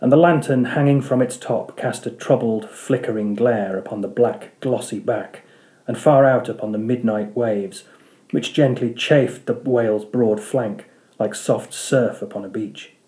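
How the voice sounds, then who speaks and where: A man narrates a literary audiobook in a dark, mellow voice. His pitch sits in the middle of the male range, and the delivery is scripted storytelling. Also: unhurried, reverberant. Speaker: audiobook reader.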